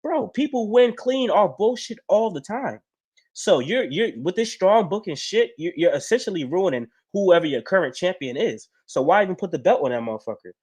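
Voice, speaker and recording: A man's voice: high voice, expressive, normal speed, a little mellow, breathy edge. A man co-hosting a casual wrestling chat stream, blunt and profane. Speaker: podcast co-host.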